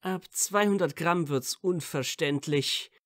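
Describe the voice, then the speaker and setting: A man's voice: on the high side, slow, fairly bright tone, no room sound, clean studio sound. A man playing a friendly, candid teenage wizard in an amateur audio drama. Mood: light, teasing.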